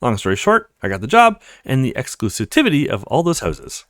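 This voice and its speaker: Man playing an eager young student, animated. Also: medium pitch, a little fast, no echo, clean studio sound. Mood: excited. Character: eager upbeat young student with a new job. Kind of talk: video game character read-aloud.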